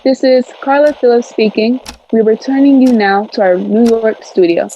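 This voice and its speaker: An actress playing a composed female radio commentator in a 1930s-style drama, formal, measured and descriptive. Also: a little high, steady tone, dark tone, lo-fi sound, a little hiss or hum, lightly acted.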